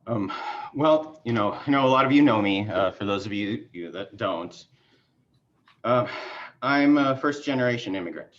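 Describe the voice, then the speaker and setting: A middle-aged man from Mexico, whose was not English, giving a halting, filler-heavy self-introduction over a video call. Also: lowish voice, lively intonation, lo-fi sound. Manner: rambling, halting with many fillers and restarts. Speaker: party chair candidate. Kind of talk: casual conversational self-introduction.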